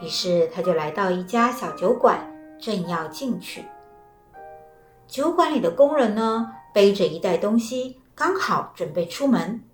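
A woman narrating a children's story in a lively, expressive way. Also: mid-range voice, a little slow. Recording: roomy, with faint music.

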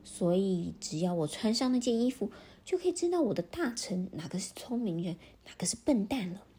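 A woman voicing a vain, short-tempered king who shouts and fusses, in a children's storybook. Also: medium pitch, big pitch swings, brisk, slightly rough. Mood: fussy. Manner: shouting, fussy and demanding.

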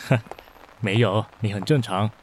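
An actor playing a reserved, considerate young company boss in a romantic radio drama, composed and understated. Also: male voice, fairly low pitch, moderate pace, close to an everyday voice. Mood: dry, grumbling. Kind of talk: modern urban romantic radio drama acting. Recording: faint noise, rain ambience.

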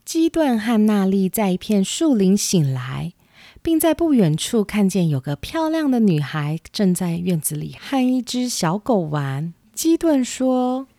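A woman's voice: medium pitch, very animated, a little slow, mellow, no echo. A woman narrating a scripted children's fairy tale as the storyteller of an audio show. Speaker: storyteller, podcast host. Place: dry home recording over music.

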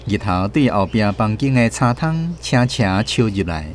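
A man's voice: lowish voice, sing-song, moderate pace, a little hiss or hum. A man with a Taiwanese accent, hosting and narrating a radio story from a script. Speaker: host and narrator.

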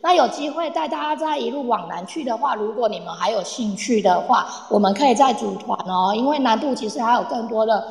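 A woman's voice: on the high side, animated, fairly quick, vocal fry, hardly any pauses. A woman playing a cheerful, eager tour guide in an amateur radio play, chatting to her group as she explains. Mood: cheerful, encouraging. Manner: chatty, addresses group, uses soft sentence-final particles. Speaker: tour guide in an audio drama. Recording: echoey, long reverb, online audio-room recording.

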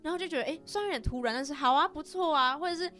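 A woman's voice: high-pitched, racing, pushed and bright. A woman hosting a podcast in casual conversation with friends, often prompting and agreeing with the others. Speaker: podcast host. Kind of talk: casual chat among friends. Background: background music.

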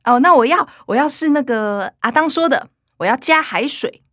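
An earnest, slightly nerdy young granddaughter in a radio play. Her voice sits fairly high, with a Taiwanese accent and trusting eagerness, in a dry studio sound. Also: animated, normal speed, a bit bright, no echo. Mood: excited, precise. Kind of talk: radio drama acting.

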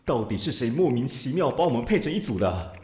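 A man's voice: medium pitch, sing-song, fast talker, a little mellow, close to an everyday voice. A man with a Taiwanese Mandarin accent playing a proud, foul-mouthed, easily flustered police officer who blusters, grumbles and sputters in an audio drama. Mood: irritated sarcasm. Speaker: voice actor.

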